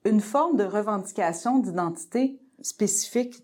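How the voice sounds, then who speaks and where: A woman's voice: mid-range voice, lively intonation, normal speed, a little mellow. A woman hosting a podcast interview, chatting casually and asking probing follow-up questions, often filling with tu sais. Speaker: podcast host.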